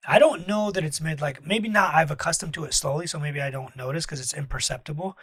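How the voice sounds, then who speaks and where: Nasal, raspy man with a high voice. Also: fast, a bit bright, dry recording. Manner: rambling, full of "like" and restarts. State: slightly congested from allergies. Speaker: host, obesity medicine doctor. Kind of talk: casual conversational interview.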